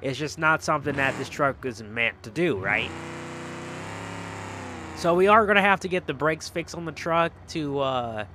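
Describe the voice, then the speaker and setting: A man's voice: on the high side, animated, moderate pace, sharp tone, a little hiss or hum. A man hosting a gaming video with casual, rambling commentary, often repeating himself for emphasis. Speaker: video game vlogger, host.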